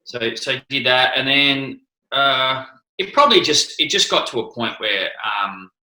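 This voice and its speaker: A man's voice: medium pitch, expressive, measured pace, pushed and bright, roomy sound, poor audio. A man with an Australian accent answering interview questions, hesitant, with many restarts and ums. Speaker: interview guest, former cricketer.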